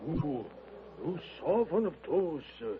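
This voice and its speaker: A man's voice: fairly high pitch, big pitch swings, slow, full character voice. Wolf, a jittery expedition man. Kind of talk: 1930s radio acting. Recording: tinny old radio transcription with hiss.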